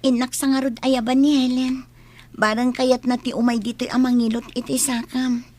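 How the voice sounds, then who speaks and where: A woman's voice: sits high, flat delivery, normal speed, warm tone. A Filipino woman playing a dutiful, worried daughter in an audio drama, earnest and deferential. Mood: concerned. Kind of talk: Ilocano audio drama acting.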